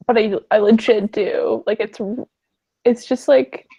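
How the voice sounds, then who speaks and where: A woman's voice: a little high, fairly even intonation, a little slow, dark tone, breathy edge. A young woman from Canada chatting casually in a group, blunt and teasing, swearing now and then. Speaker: chat participant. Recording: dull lo-fi recording.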